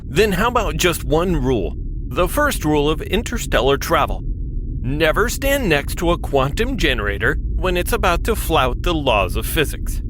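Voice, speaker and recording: An actor playing a vain, irreverent robot scientist in an audio drama, sarcastic and full of tactless asides. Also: male voice, on the high side, very animated, normal speed, noisy background. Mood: sardonic.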